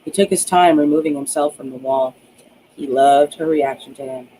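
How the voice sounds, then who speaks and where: A man's voice: medium pitch, steady tone, normal speed, warm tone, a little hiss or hum, low-quality recording. A man narrating a romance audiobook in a dramatic storytelling way, with the odd stumble. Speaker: author reading own novel aloud.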